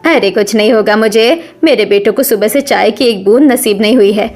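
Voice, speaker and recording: A woman playing a caring older mother-in-law in an animated family story, earnest and motherly, anxious yet determined. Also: medium pitch, normal speed, close to an everyday voice. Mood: concerned, determined.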